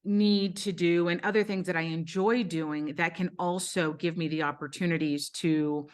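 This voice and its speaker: Low-voiced woman telling her story earnestly. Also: fairly even intonation, normal speed. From Brazil. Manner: earnest, with frequent ums and self-corrections. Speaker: podcast guest. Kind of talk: candid personal storytelling.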